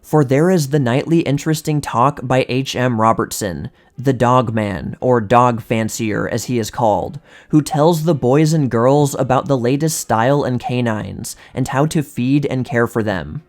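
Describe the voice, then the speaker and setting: A man reading vintage magazine articles aloud as a scripted narration, in a measured, precise manner. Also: medium pitch.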